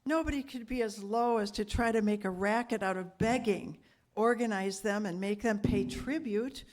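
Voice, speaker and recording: A woman's voice: mid-range voice, moderate pace, a little mellow, close to an everyday voice. A woman playing a compassionate, shocked lady companion in a 1930s radio drama, with dramatic, breathless dismay.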